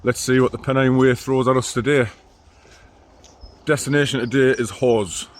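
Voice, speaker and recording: A man, talking in a deep, mumbling voice in a casual walking vlog outdoors, rambling with dry self-mocking asides. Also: steady tone, normal speed. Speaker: long-distance hiker vlogger. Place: outdoors on a hill trail.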